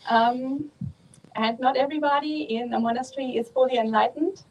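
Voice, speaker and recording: A woman from Germany with a German accent, a Buddhist nun giving a calm, measured dharma talk at a monastery, pausing often with ums. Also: high voice, muffled recording, slight background noise.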